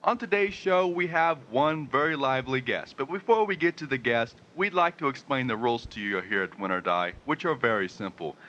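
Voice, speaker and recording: A man playing a brash game show host in a parody radio sketch, announcing in a showy, drawn-out way. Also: medium pitch, animated, sharp tone, muffled recording. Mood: showy, upbeat.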